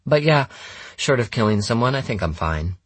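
A man's voice: mid-range voice, very animated, moderate pace, husky, dry recording, pitched above the performer's own voice. A man voicing a wry, nonchalant rich teenage girl for an audiobook, with sardonic, slangy casual phrasing.

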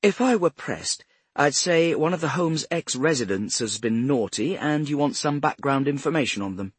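A haughty older woman with a cultured English accent, as a male narrator puts her on. The voice is raised well up and swings with indignation and prim self-regard. It sounds a little husky and close, like a storybook character. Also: on the high side, expressive, normal speed, no room sound, voice pushed up. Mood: wary, probing. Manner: prim, self-righteous, sharp. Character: cultured, haughty retired care-home matron, a grandmother.

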